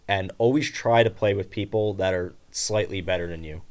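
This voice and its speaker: A man, a bassist guesting on a podcast, giving earnest and measured advice. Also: darkish tone. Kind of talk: casual interview chat.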